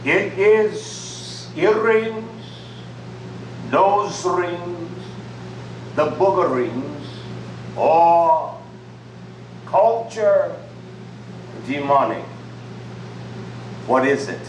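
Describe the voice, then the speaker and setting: A man's voice: high voice, very slow, pauses often. A man preaching a sermon in short, emphatic phrases. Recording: echoey room from far off with faint noise.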